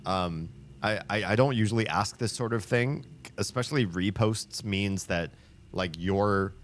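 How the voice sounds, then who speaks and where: A man with a low voice hosts a livestream, talking with viewers in a chatty, upbeat way. He rambles and restarts his sentences, with many ums and likes. A faint hiss sits underneath. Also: unhurried. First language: English. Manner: rambling, with frequent fillers and restarts. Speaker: livestream host. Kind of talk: casual chat with viewers.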